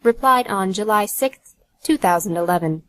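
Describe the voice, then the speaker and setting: A woman's voice: mid-range voice, expressive, normal speed, a bit bright. A woman narrating an audiobook from a script in a neutral, announcing manner.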